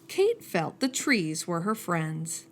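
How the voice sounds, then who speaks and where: A woman reading a children's picture book aloud as a storyteller, slowing down and dropping soft and low on each refrain. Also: sing-song, faint music behind.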